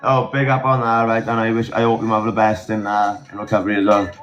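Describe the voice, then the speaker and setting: Laid-back Welsh caller on a dull line. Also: male voice, on the low side, completely flat delivery, quick pace, fairly bright tone. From South Wales. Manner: laid-back, slangy, stammers at times. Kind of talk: casual chat. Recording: dull lo-fi call with faint music.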